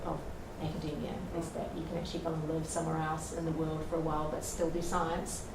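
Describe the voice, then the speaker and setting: A woman's voice: deep voice, completely flat delivery, fast, distant mic. A woman on a discussion panel, talking earnestly and conversationally with many fillers. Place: lecture room at an evening event. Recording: roomy hall from afar with noise.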